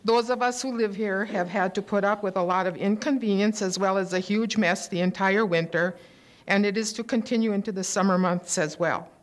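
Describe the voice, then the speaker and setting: An older woman reading a prepared, measured complaint at a city council public comment, into a podium microphone in a council chamber. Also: mid-range voice. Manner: steady, measured, indignant complaint. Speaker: resident speaking at public comment. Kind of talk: prepared statement read at a council meeting. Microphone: podium microphone on a gooseneck.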